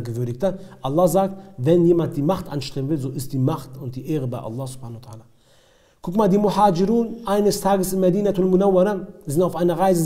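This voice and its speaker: A man's voice: on the high side, dark tone. A man preaching an Islamic lecture, speaking emphatically, repeating key phrases and asking rhetorical questions. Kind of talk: religious lecture with recited Arabic verses and retold stories.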